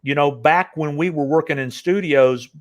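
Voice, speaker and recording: A man's voice: a little high, moderate pace. Rambling older male interview host. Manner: rambling, many fillers and restarts. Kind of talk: casual interview chat.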